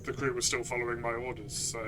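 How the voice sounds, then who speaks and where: A man's voice: mid-range voice, level tone, quick pace, a crowd in the background. A man chatting casually about his experience as a player in a tabletop game. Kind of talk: casual chat. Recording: clear background noise.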